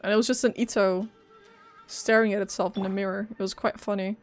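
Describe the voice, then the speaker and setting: A woman's voice: medium pitch, little pitch movement, brisk, no echo. A woman game streamer giving casual live reactions, exclaiming and joking as she plays. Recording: faint game music behind. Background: game music.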